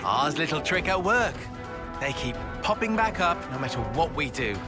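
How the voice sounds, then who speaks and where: An actor playing a composed, world-weary military officer in a video game, speaking in a measured, wry, formal manner. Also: male voice, a little high, sing-song, music in the background. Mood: grim. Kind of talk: video game cutscene acting.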